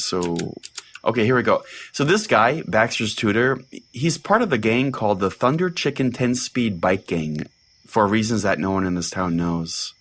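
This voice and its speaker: Low-voiced deadpan podcast pastor, played by a man. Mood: matter-of-fact. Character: deadpan, dejected small-town pastor hosting a podcast. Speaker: podcast host. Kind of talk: comedic audio fiction, conversational storytelling.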